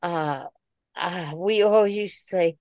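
A woman chatting casually in a halting way, often repeating words as she goes. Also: on the low side, no room sound, highs cut off. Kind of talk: casual chat.

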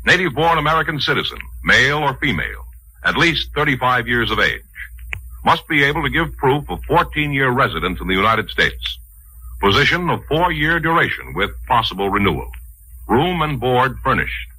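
Male vintage radio announcer, emphatic, sharp and forceful, lively low-ish delivery of scripted public-service copy. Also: moderate pace, slightly rough, rough recording. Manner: emphatic, rhetorical.

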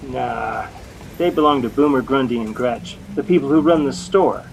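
A man's voice: mid-range voice, moderate pace, close to an everyday voice. Man acting as jealous young American husband, sheepish stammer, sarcastic grumble, boastful bluster, vintage radio comedy, faintly noisy recording. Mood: grumbling, sarcastic.

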